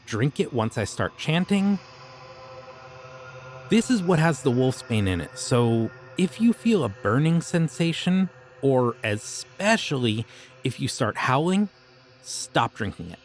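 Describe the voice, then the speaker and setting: A man's voice: mid-range voice, very animated, unhurried, soft background music. A man playing an offhand, creepy occult shopkeeper. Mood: easygoing. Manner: casual, easygoing and offhand about dark things. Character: friendly but creepy male occult shopkeeper, young adult. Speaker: voice actor. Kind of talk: ASMR audio roleplay.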